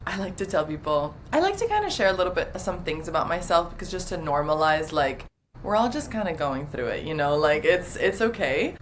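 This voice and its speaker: Young woman coach chatting openly, lots of "like"s, kinda low voice, bit of room hum. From half Dominican, half Italian, partly raised in the US. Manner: chatty, many "like" fillers, warm and open. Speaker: relationship coach. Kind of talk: casual interview chat.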